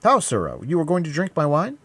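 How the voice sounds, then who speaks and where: An earnest young swordsman from a classic adventure novel, voiced by a male narrator. He is emphatic and declamatory, pitched up and swinging widely as he commands or protests. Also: huge pitch swings, brisk, fairly bright tone, husky, no room sound, full character voice. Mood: stern.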